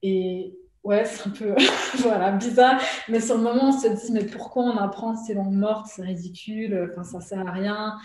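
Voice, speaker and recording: A woman's voice: mid-range voice, fairly even intonation, normal speed, a little mellow, slight background noise. Woman, echoey room. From Switzerland. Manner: hesitant with frequent fillers, laughs often. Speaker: guest, artist and illustrator. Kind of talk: casual conversational interview. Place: at home during lockdown.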